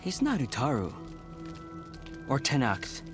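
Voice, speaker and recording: Game cast: a soft-spoken young soldier, mid pitch, conciliatory. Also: male voice, wildly swooping pitch, slow, over music. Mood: gentle. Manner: gentle, conciliatory. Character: gentle young male squad soldier, reasonable.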